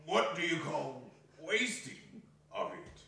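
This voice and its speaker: In a very echoey hall, some way from the mic, a man acts a sly, grubby old rag-and-bone dealer. He haggles in slow, drawn-out theatrical phrases that swing up and down. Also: fairly high pitch, animated, creaky, pushed and bright, distant mic, exaggerated voice. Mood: sly haggling. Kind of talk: dramatic stage reading.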